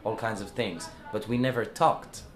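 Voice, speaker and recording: A man's voice: fairly low pitch, fairly even intonation, normal speed, reverberant, crowd noise. A man with an Israeli accent, a singer talking in a casual interview, hesitant with many fillers and restarts. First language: Hebrew. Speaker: singer and band founder. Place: music club after a show.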